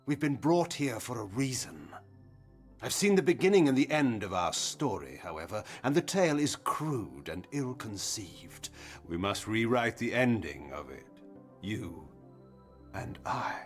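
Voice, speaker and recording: A man playing an imperious, cynical vampire lord in a video game, delivering measured, archaic, theatrical speeches. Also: on the low side, very animated. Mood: sardonic, cryptic. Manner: grandiloquent, measured, archaic rhetoric. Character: imperious male vampire lord, cynical, cunning, grandly fatalistic. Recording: music behind the voice.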